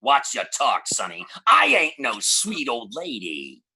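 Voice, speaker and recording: A man's voice: medium pitch, huge pitch swings, slow, sharp tone, slightly rough, heavily acted. A man playing a tough, slangy gangster who blusters indignantly in an old-time radio detective drama. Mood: scolding. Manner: streetwise hardboiled slang, exclamatory.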